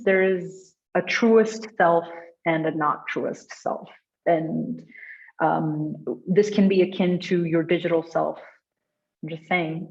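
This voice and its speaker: A woman from India with an Indian accent, speaking conversationally and earnestly as she answers audience questions, with expressive, laughter-prone delivery. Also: on the low side. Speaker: guest speaker at a design meetup. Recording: dull, lo-fi online call audio.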